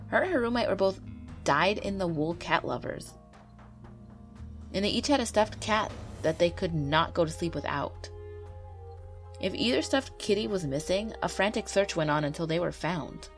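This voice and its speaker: A woman with a stuffy nose from a cold, gently and earnestly reading true ghost stories aloud as the narrator of her channel. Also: lowish voice. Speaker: YouTube ghost story narrator. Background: faint background music.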